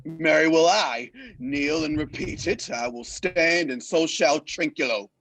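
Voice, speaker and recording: A man's voice: fairly high pitch, measured pace, heavy background noise, close to an everyday voice. An actor playing a pompous, bossy drunkard who lords it over his companions in a Shakespearean radio play. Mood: commanding. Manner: pompous, commanding, archaic speech. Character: blustering, bossy drunken man playing lord.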